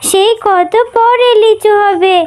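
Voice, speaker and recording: A woman's voice: very high-pitched, slow pace, a little mellow. A woman voicing a sweet, eager young animal girl in a children's cartoon, earnest and pleading in a childlike way. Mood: impatient. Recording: music behind the voice.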